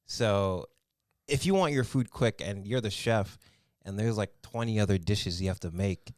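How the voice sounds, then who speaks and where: A man's voice: fairly low pitch, normal speed, darkish tone, no room sound, studio quality. A man chatting casually as a podcast guest, laid-back, laughing often. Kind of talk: casual chat.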